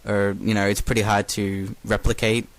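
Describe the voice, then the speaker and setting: A young man from Australia with an accent softened toward American, leaving a casual, halting listener message. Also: on the low side, flat delivery, rough recording. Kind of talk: casual recorded question.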